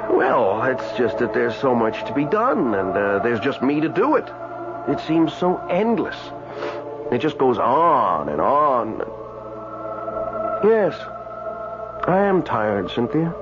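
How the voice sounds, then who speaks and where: An actor playing a weary, tender dead man in a radio drama, speaking in a halting, wistful way. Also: mid-range voice, big pitch swings. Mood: weary, sorrowful. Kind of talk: old-time radio drama acting. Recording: dull old radio recording with music.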